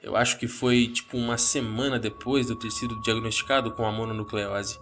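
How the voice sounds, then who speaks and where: A man's voice: mid-range voice, flat delivery, a little fast, noisy background. A man with a Brazilian accent, speaking as the narrator and host of a horror story podcast.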